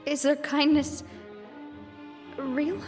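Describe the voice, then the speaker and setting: A woman's voice: sits high, fairly even intonation, very slow, darkish tone. A woman playing an earnest, self-doubting young gang leader in a dramatic video game, hesitant and full of emotion. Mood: sorrowful doubt. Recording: music behind the voice.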